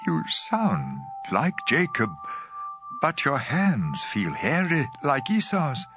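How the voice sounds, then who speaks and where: An actor playing a frail, trembling old father near death, speaking in a slow, solemn, gravelly way. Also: on the high side, wildly swooping pitch, heavily acted. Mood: suspicious. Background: background music.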